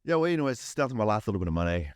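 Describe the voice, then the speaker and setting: Deep-voiced New Zealand man, swearing casually. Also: big pitch swings, rapid-fire, no echo, studio quality. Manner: swearing, rambling, repeats words. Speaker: podcast guest. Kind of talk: casual chat.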